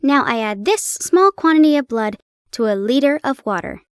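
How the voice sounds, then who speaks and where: An eager, brilliant detective bursts with enthusiasm, as a woman reads him aloud. The voice sits fairly high and sounds dry and close. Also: expressive, moderate pace, smooth, no room sound. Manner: fervent, exclaiming.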